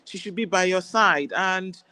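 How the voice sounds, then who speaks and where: A woman with a Nigerian accent, an Igbo speaker, talking as a guest doctor in a relaxed interview, chatty and quick to laugh. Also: fairly low pitch, no echo. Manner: warm, chatty, laughs easily. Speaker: guest, general practitioner. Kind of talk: casual interview chat.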